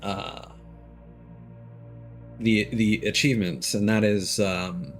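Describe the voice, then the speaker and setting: A man with a fairly low voice chats casually while he plays a game, thinking aloud and circling back on himself with ums and half-finished phrases. Faint game music plays under his talk. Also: fairly even intonation, takes it slowly. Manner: thinking aloud, rambling with many ums and restarts. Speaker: game streamer. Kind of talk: casual gameplay commentary.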